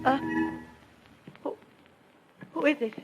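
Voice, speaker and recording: An actress playing a terrified wife who pleads for her life in halting panic, in an old-time radio thriller. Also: over loud music, lightly acted, poor audio. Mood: frightened. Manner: breathy panic, halting, shrieking pleas.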